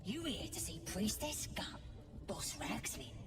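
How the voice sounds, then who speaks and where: An actress with a British accent playing a brash, crude female goblin guard in a video game, gruff, slangy and mocking. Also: medium pitch, sing-song, a little slow, recorded from a distance, over loud music.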